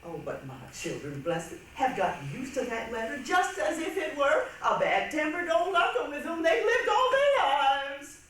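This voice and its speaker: Woman as an animated, high-voiced, wry storyteller. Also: moderate pace, few pauses. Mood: wry, put-upon. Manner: theatrical, wry, expressive storytelling. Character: fired woman, whimsical, put-upon storyteller. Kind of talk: stage readers' theatre monologue. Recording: echoey hall, distant mic, faint noise.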